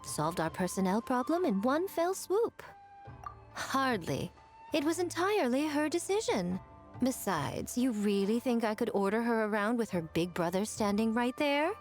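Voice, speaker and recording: A woman's voice: fairly high pitch, big pitch swings, normal speed, faint music behind. An actress playing a teasing, self-assured woman with a playful, knowing manner in a video game.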